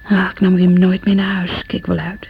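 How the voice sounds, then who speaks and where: A woman's voice: fairly low pitch, completely flat delivery, normal speed, gravelly, narrow, tinny audio, a little hiss or hum. An actress playing an insecure, wounded woman in an intimate, reflective radio drama monologue. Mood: resentful.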